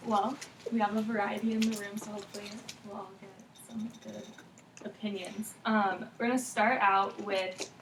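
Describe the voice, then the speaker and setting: A woman's voice: mid-range voice, little pitch movement, normal speed, a bit bright, a little hiss or hum. Hesitant young woman moderating a focus group. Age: 20s. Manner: hesitant, many ums. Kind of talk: scripted moderating, reading rules. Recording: echoey room, far from the mic.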